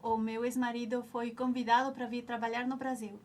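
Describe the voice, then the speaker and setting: A woman's voice: fairly high pitch, flat delivery, a little fast, darkish tone. A woman in her forties from Ecuador, a native Spanish speaker with an Ecuadorian Spanish accent, reflectively recalling her story as an interview guest and often restarting as she searches for words. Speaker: nutritionist, alumna guest. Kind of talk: reminiscing interview answers.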